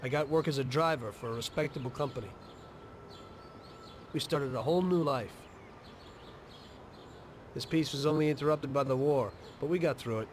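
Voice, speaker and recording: Game role: American ex-gangster played by a man, middle-aged, mid-range pitch, plain-spoken, understated and weary. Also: normal speed, long pauses, slight background noise, close to an everyday voice. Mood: reflective. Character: weary, matter-of-fact ex-mobster telling his life story. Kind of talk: dramatic video game dialogue and narration.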